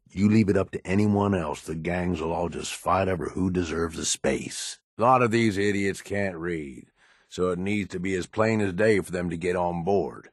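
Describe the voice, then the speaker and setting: An actor playing a cynical, middle-aged raider lieutenant in a video game, with an American accent and a blunt, world-weary, colloquial manner. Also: male voice, low voice, no room sound, clean studio sound. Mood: impatient, insistent. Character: gruff, cynical male raider gang lieutenant in a post-apocalyptic wasteland. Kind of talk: video game character dialogue.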